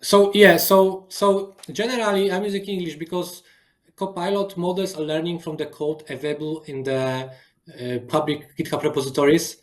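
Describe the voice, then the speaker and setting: A man whose first language is Polish, speaking over a video call with halting phrasing and frequent restarts as a guest engineer in a webinar. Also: fairly high pitch, little pitch movement. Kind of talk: live webinar demo and Q&A.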